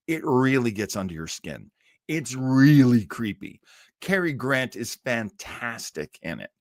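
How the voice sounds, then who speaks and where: A man's voice: mid-range voice, a bit bright, dry recording, studio quality. A man hosting a live web show, chatting casually to his viewers in an enthusiastic, rambling way with playful asides. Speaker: host of a live web show on old-time radio. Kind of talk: casual livestream chat to viewers.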